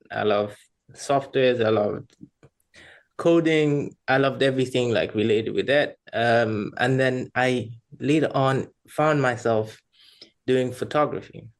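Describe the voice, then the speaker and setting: Gravelly-voiced man in casual conversation. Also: mid-range voice. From Rwanda. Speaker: podcast guest, freelance creative. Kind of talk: casual personal chat.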